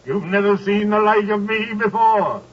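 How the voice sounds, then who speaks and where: An actor playing the Ghost of Christmas Present, a jolly giant spirit, with a grand, commanding gravelly voice in a 1930s radio drama. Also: male voice, high voice, moderate pace, far from the mic, a little hiss or hum, lightly acted. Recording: dull old radio broadcast, from off-mic.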